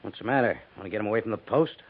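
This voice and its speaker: Doubting army major, gruff, brisk, authoritative, thin narrow-band fifties radio. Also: male voice, on the low side. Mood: skeptical. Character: gruff, skeptical cavalry major in command. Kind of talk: 1950s radio drama acting. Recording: tinny narrow-band 1950s radio recording.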